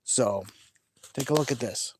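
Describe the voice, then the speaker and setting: A man's voice: mid-range voice, unhurried, slightly rough. A middle-aged man from Maine with a faint Maine accent, chatting casually with viewers outdoors by a lake and rambling with easy laughs. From Maine, New England. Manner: chatty, rambling, laughs easily, frequent restarts. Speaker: vlogger. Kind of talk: casual live chat with viewers. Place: outdoors by a large lake at sunset. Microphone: phone camera held by hand.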